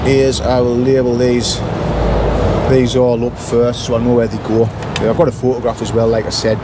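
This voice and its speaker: A man giving a casual, matter-of-fact repair walkthrough, thinking aloud as he works. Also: medium pitch. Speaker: intercom repair engineer presenting his work. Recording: loud traffic and outdoor street noise.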